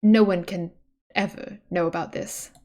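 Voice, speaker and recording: Woman, medium pitch, read-aloud narration, casual streamer, dramatic storybook delivery, offhand remarks. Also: fairly even intonation, measured pace, a little mellow. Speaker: streamer reading a webcomic aloud.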